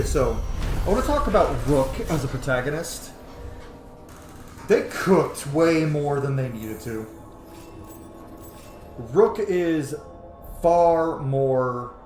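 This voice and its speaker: A man's mid-pitched voice in a roomy, echoing space, talking through a video game. He is casual, opinionated and swears freely, with faint game music behind him. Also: darkish tone. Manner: profane, informal. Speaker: video game streamer giving commentary. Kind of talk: casual, opinionated gameplay commentary.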